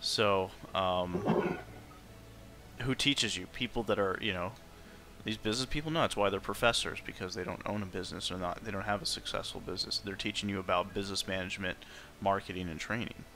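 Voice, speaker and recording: Guy on a stream just chatting, lowish bright forceful voice, emphatic and opinionated. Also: brisk. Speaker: streamer chatting during a game playthrough. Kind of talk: casual chat.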